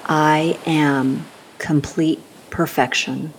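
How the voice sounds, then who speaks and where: Slow, low-voiced woman guiding meditation. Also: steady tone, slight background noise, rough recording. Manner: soothing, unhurried, gentle instruction with long pauses. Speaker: meditation guide. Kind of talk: scripted guided meditation.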